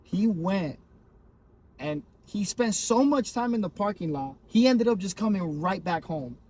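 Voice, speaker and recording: A young man from Miami, a comedian guest in casual podcast chat, telling stories in a lively, swearing way. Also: sits high, unhurried. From Miami, Florida. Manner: animated, swearing storyteller.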